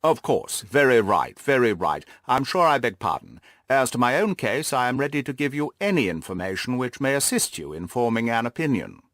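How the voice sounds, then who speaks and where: A man playing a haughty, reserved aristocratic lord with a pompous, measured way of talking in an audiobook. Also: mid-range voice, sing-song, fairly bright tone, no room sound, studio quality. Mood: stiff, condescending. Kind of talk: audiobook character dialogue.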